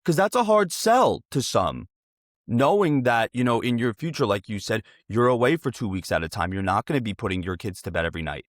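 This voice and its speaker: A man's voice: medium pitch, sing-song, fairly quick, no echo, studio quality. An American man hosting a casual interview, talking in a chatty, informal and wordy way. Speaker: interview host.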